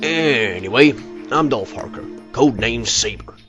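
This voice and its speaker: A man's voice: mid-range voice, expressive, a little slow, lo-fi sound, music in the background, close to an everyday voice. A man playing a straightforward, weary squad member in an audio drama. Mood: mildly weary. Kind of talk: amateur audio drama acting.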